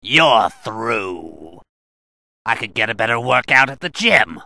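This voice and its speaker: Fighting game villain: arrogant, bloodthirsty male Muay Thai fighter, piercing strained gravelly delivery, slow drawn-out boasts. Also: medium pitch, big pitch swings, dry recording, studio quality, full character voice. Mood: scornful. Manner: boastful, theatrical, drawn-out shouting. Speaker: video game voice actor. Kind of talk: fighting game battle taunts and attack shouts.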